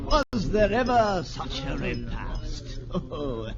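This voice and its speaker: A man's voice: on the high side, very animated, slow, music in the background, full character voice. A gluttonous Roman nobleman. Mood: relishing. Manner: relishing, fussy. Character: gluttonous Roman nobleman, greedy banquet guest. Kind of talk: 1940s radio acting. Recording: dull transcription disc recording.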